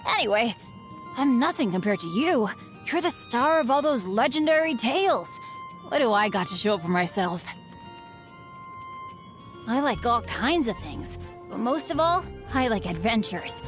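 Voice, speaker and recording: An upbeat earnest teen boy adventurer from a game, highish voice, super animated n eager. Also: a little slow, long pauses. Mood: hopeful. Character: upbeat, earnest teenage boy adventurer, unlucky but hopeful. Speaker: video game character voice. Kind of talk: scripted game voice lines. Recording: music behind the voice.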